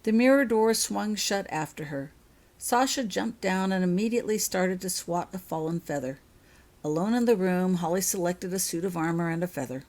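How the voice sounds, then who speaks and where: A woman's voice: fairly low pitch, normal speed, a little mellow. A woman reading her own fiction aloud as an audiobook-style story narration.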